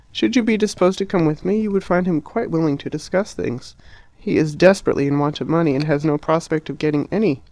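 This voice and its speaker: A man voicing a polite, reasonable young Englishman who speaks in a measured way. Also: fairly high pitch, darkish tone, no echo, natural voice. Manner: courteous, measured.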